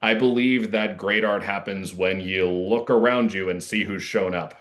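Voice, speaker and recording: A man speaking casually as a playwright and actor being interviewed, earnest, with halting phrasing and frequent use of "like". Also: fairly low pitch, fairly even intonation.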